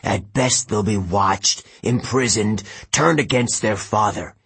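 Man doing Hades, deep pleading god. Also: fairly bright tone, full character voice. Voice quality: deep, husky. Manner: pleading, grave, slow. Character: Hades, anxious brooding god of the dead.

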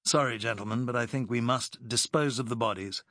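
A man voicing a resolute young British officer who gives crisp commands. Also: medium pitch, fairly quick, bright, forceful tone, no echo, clean studio sound, close to an everyday voice. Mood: grim.

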